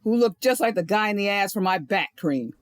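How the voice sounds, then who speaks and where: A woman's voice: medium pitch, expressive, a little fast, no echo, clean studio sound, lightly acted. A woman playing a cranky, smug condo busybody in an audio comedy, blunt and scolding. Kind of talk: audio comedy drama acting.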